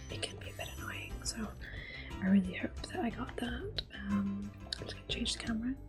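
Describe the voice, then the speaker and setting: A woman giving soft-spoken ASMR game commentary in a gentle, breathy, often whispered murmur. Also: mid-range voice, low-quality recording. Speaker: gameplay host.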